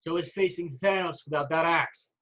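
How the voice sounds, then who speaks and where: A man's voice: a little high, moderate pace, fairly bright tone, highs cut off, lightly acted. A man playing a bold, determined thunder god in an amateur script read.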